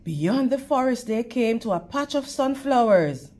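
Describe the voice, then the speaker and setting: A woman's voice: a little high, expressive, a little slow, darkish tone. A woman reading a children's story aloud in a teacherly way, addressing young listeners directly. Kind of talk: animated storytime narration with questions to children.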